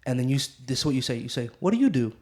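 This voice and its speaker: A man's voice: mid-range voice, sing-song, racing, darkish tone. A man chatting casually as a podcast guest, handing out practical advice in a playful, wry manner.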